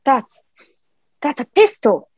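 A woman's voice: sits high, very animated, takes it slowly, no room sound, heavily acted. A woman playing an eager, polite young boy in a radio play. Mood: awed, eager.

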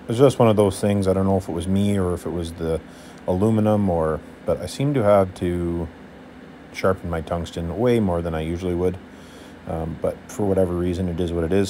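Low mellow voiced guy, laid-back voice-over on his welding, lots of ums, faint hum behind. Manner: relaxed, offhand, drawn-out fillers. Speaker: welder and channel host.

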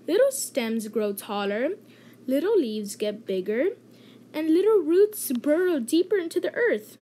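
A woman reading a children's story aloud in an expressive, clearly enunciated storytelling manner. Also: high voice, a little slow. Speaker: host reading children's picture book aloud.

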